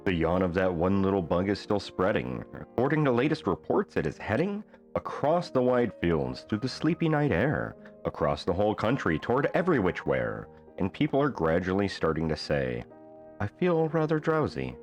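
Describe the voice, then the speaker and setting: A man reading a rhyming children's bedtime book aloud as an expressive storyteller. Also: deep voice, normal speed, hardly any pauses, soft background music.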